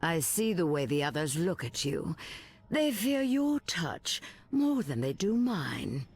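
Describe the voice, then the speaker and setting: A seductive, soul-stealing villainess, slow and husky. She taunts with menace, as a game's ancient evil. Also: on the low side, sing-song, a little mellow, exaggerated voice. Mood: taunting, menacing. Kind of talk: video game character dialogue.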